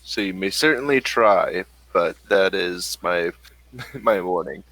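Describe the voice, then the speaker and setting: Low-voiced man running a tabletop game. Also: lively intonation, pushed and bright, dry recording, poor audio. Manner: hesitant, pauses with fillers. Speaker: game master.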